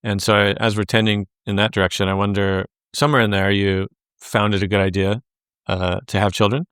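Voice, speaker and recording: A male podcast host with a smooth, resonant voice that sits a little low. His pitch stays flat and even as he builds long, searching questions padded with fillers. The recording is dry and close. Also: fairly quick, dry recording. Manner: warm, affirming questions with many fillers. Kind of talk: interview conversation.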